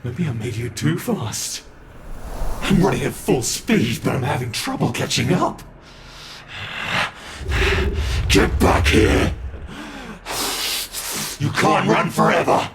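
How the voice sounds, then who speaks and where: A man's voice: mid-range voice, sing-song, measured pace, gravelly, pauses often, noisy background. A man voicing a smug, predatory male monster who teases the prey he is hunting, in an ASMR horror roleplay. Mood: taunting. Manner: teasing, taunting.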